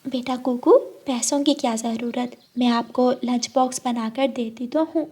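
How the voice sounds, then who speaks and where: Cartoon mother bird played by a woman, higher register, patient, gentle, coaxing and motherly. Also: steady tone, moderate pace, husky. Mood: indulgent.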